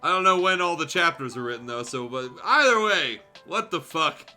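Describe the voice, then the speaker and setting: Animated bright-voiced man streaming. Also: fairly high pitch, moderate pace, faint music behind. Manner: animated, amused, profane. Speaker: streamer hosting a fanfiction reading. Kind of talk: livestream read-aloud with casual asides.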